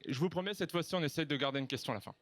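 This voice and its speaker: A man's voice: on the high side, racing, a bit bright. A man moderating a live conference panel, speaking to the audience in an informal, chatty way. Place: live festival stage with audience.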